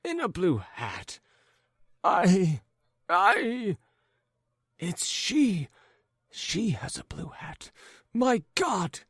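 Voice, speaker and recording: A man voicing an anxious, fussy husband who speaks in a high, trembling, fretful voice. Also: big pitch swings, slow pace, mellow, dry recording, studio quality, full character voice. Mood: horrified.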